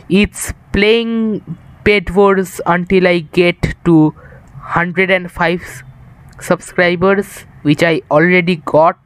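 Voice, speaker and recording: A young man from India talks to his viewers in an unhurried, drawn-out way as he plays, his voice sitting a little high. He rambles loosely, sounds worn out, and uses an improvised setup. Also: a bit bright, clean tone, rough recording. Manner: rambling, chatty, addresses viewers. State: tired. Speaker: streamer. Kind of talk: casual live-stream chat while gaming.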